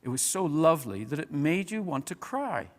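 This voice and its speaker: A man reading a story aloud as an expressive audiobook-style narrator. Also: on the high side, moderate pace. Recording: long hall-like reverb.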